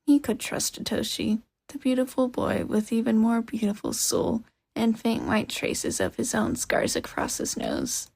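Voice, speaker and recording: Podfic narrator, female: expressive scripted fiction read, creaky edge, animated pitch, dry recording. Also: measured pace, dry recording.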